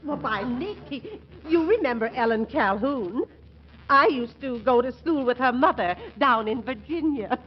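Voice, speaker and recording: A woman's voice: high-pitched, lively intonation, unhurried. A woman playing a genteel, hesitant, fretting mother-in-law in a 1940s radio comedy. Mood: fretful fond. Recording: muffled noisy 1940s radio broadcast.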